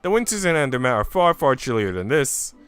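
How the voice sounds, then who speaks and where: A man's voice: medium pitch, sing-song, fairly quick, a bit bright, smooth, faint music behind. A man voicing a pitiful, homesick young Swiss maid in a visual novel, with a plaintive, tremulous manner.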